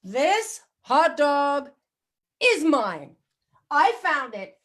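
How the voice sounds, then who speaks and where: A greedy pigeon hogging his find, read aloud by a woman. He speaks with a crazy put-on American accent, stretching words slowly and loudly. The tone is bright and sharp, swinging widely in pitch. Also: very animated, full character voice. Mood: gloating. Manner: loud, drawn-out, exaggerated storybook reading. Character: greedy excitable male pigeon. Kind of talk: children's storybook reading.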